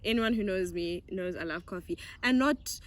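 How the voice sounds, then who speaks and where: A woman's voice: lowish voice, normal speed. Bubbly young Zimbabwean woman vlogger. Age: young adult. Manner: bubbly, giggly, chatty and animated. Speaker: YouTuber. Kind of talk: casual get-to-know-me chat.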